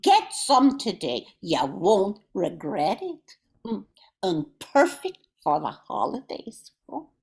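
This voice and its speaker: A woman's voice: wildly swooping pitch, takes it slowly, creaky, a little mellow, exaggerated voice. A woman playing a gushing mother giving a folksy, exaggerated testimonial in a parody of an old-time radio ad. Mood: folksy, persuasive. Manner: exaggerated, folksy sales pitch.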